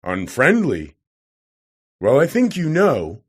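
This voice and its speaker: A man's voice: mid-range voice, huge pitch swings, slow, breathy edge, full character voice. A man reading aloud who voices a hot-tempered, blustering older professor with a roaring manner. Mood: scornful.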